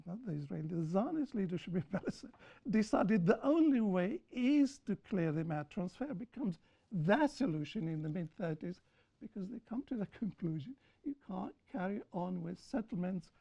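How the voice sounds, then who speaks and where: A woman with a mellow, dark voice speaks on a panel in a deliberate, lecturing way, hammering home her points by repeating them. The recording is dull and lo-fi. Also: fairly low pitch, lively intonation, creaky, husky. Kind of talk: panel discussion after a film screening.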